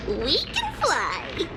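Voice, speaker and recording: A woman voicing a cheerful, childlike little girl demigod in an animated game. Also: very high-pitched, extremely slow, over music, heavily acted, low-quality recording. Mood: cheerful, eager. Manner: childlike, speaks of self by name.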